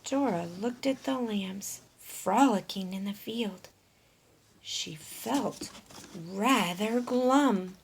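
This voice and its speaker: A woman's voice: medium pitch, extremely slow, creaky, slight background noise. A woman reading a picture book aloud for young children, explaining things in an instructive way as a storyteller. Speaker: storyteller, teacher.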